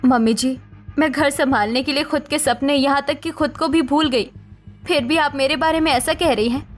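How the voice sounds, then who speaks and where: A woman acting scornful mothers-in-law and hurt young daughters-in-law in an animated family drama, with emphatic melodramatic delivery. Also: sits high, normal speed, faint music behind, natural voice. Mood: reproachful, hurt.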